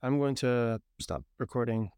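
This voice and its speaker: Smooth-voiced man, soft thoughtful podcast host. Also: mid-range voice, moderate pace, no echo, studio quality. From Puerto Rico. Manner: thoughtful, agreeable, frequent backchannel affirmations. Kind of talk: casual conversation with his sister. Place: parents' house.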